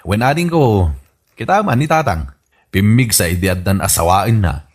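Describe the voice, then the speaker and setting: Man acting a bewildered, animated son. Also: on the low side, moderate pace. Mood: matter-of-fact. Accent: Ilocano. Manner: incredulous, exclaiming. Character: bewildered, exasperated grown son of the old father. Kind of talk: Ilocano comedy radio drama acting.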